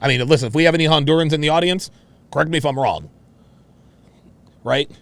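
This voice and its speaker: A man's voice: on the high side, fast, creaky, no room sound. A man hosting a reaction show, commenting on a clip in a brash, mocking, profane and repetitive manner.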